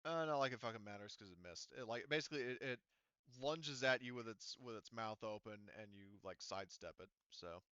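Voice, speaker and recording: A man running a tabletop roleplaying game as game master, talking in a casual, halting and sometimes profane way. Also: lowish voice, bright, forceful tone, smooth, dry recording, lo-fi sound. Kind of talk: improvised narration and rules talk in an online tabletop roleplaying game.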